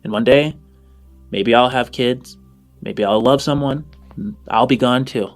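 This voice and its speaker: A man's voice: mid-range voice, a little slow, faint music behind, close to an everyday voice. Man playing a jaded, blunt orphan boy. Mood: bleak, resigned. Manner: blunt, gloomy.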